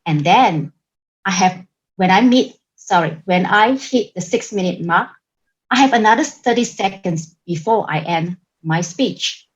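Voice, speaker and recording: A woman with a Singaporean English accent delivering a prepared club speech over a video call, in a deliberate, emphatic manner. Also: medium pitch, lively intonation, dull audio. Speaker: club member giving a speech.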